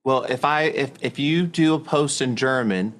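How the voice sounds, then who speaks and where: A man's voice: mid-range voice, moderate pace, fairly bright tone. Encouraging male podcast host. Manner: enthusiastic, encouraging, repeats words when starting. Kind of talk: interview conversation.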